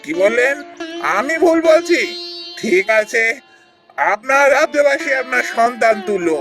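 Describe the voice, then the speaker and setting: A man's voice: very high-pitched, expressive, a little slow. A man playing a righteous holy man in a cartoon fairy tale, solemn and admonishing. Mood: stern, accusing. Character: stern righteous holy man who curses tyrants. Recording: faint music behind the voice.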